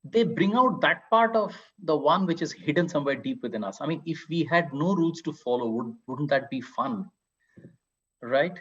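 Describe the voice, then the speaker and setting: Slightly husky, higher-pitched Indian-accented man. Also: normal speed, lo-fi sound. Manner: animated explaining with rhetorical questions. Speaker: panelist and author. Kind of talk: literary panel discussion.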